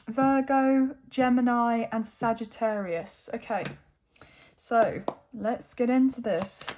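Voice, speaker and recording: Croaky-voiced woman giving a chatty reading. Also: high voice, dark tone, slight background noise. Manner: chatty, often adds tag questions like okay and right. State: croaky, tired voice. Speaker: tarot reader. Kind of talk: casual tarot reading to camera. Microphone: phone.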